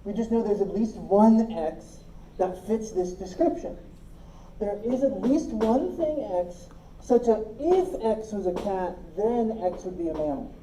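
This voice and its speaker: Mellow-voiced woman teaching in an echoey room. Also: mid-range voice, animated, a little slow, a little hiss or hum. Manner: explains step by step, checks understanding. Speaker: logic teacher. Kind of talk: classroom lecture.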